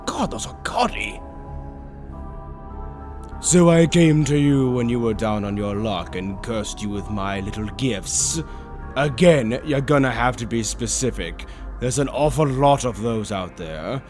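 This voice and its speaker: A man's voice: mid-range voice, expressive, measured pace, a little mellow, faint music behind, natural voice. A man voicing a suave, theatrical demonic bird tempter in a roleplay audio, drawling with mockingly polite, condescending villainy. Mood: condescending, bored. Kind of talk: scripted character roleplay audio.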